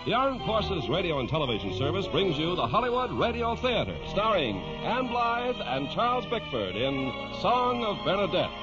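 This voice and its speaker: A man's voice: high-pitched, very animated, moderate pace, few pauses. A man announcing a radio show in a grand, old-time scripted style. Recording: dull old radio broadcast, loud music.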